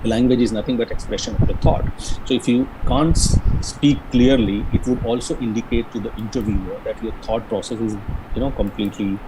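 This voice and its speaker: A man's voice: lowish voice, steady tone, brisk, noisy background. A man from Jharkhand with a Bihari-Jharkhand Indian English accent, giving an earnest, explanatory online lecture on interview skills, with frequent restarts and repetitions. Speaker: career guidance speaker.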